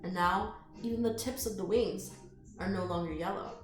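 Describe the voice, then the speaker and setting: A woman reading a picture book aloud to children in an expressive, inviting way, with friendly chatty asides. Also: mid-range voice, normal speed, over music. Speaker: children's storytime reader. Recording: roomy echo.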